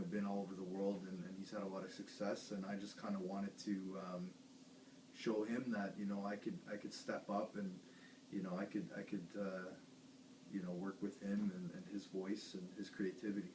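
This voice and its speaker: A man's voice: fairly low pitch, darkish tone. A man who plays guitar in a band, chatting casually in a rambling, hesitant way. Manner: rambling, hesitant, lots of fillers and restarts. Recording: echoey room, distant mic, faint hiss.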